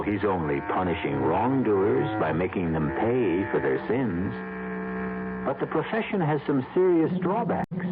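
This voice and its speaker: An American man narrates a radio mystery in a low, swooping voice. His delivery is deliberate, wry and theatrical. The broadcast is tinny, with loud music behind him. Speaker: radio drama host and narrator. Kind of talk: theatrical scripted suspense narration.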